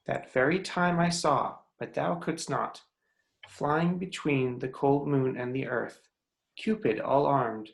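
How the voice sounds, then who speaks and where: A man's voice: mid-range voice, slow, creaky. A man playing a jealous, commanding fairy king in a theatrical, declamatory reading of Shakespearean verse. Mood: storytelling, commanding. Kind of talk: Shakespearean verse table read. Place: remote video call reading.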